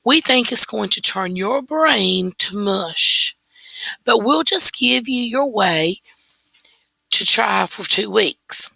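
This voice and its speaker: Woman reading as a firm, slow-spoken father. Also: medium pitch, lively intonation, vocal fry, bright, forceful tone, breathy edge, no echo, full character voice, rough recording. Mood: weary, firm. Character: weary, firm father of a schoolboy.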